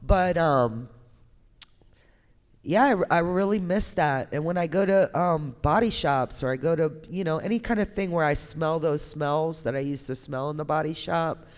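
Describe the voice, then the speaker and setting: A woman artist giving a candid, conversational talk, speaking in an informal, emphatic and expressive way. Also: low-pitched, normal speed. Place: indoor gallery talk, reverberant room. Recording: echoey hall with long reverb.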